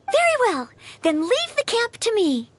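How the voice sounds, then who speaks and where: A woman's voice: extremely high pitch, wildly swooping pitch, measured pace, slight background noise, exaggerated voice. A woman voicing an eager, polite and diligent teenage maid girl in an anime video game, courteous and attentive. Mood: dutiful.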